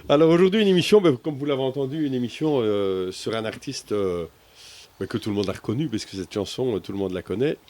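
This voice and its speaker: A male radio host with a medium-pitched voice chats casually and off the cuff. He is jocular and often doubles back on his own words as he talks. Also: lively intonation, brisk, darkish tone. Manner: chatty, jocular, repeats himself as he goes. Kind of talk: casual unscripted radio chat.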